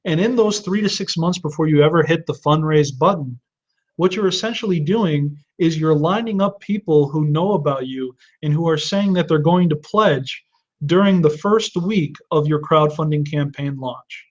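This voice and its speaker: A man's voice: on the high side, normal speed. Earnest man in his thirties, lo-fi audio. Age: 30s. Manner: earnest advice-giving with frequent restarts and fillers. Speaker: host answering viewer questions. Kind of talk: live webinar Q&A talk.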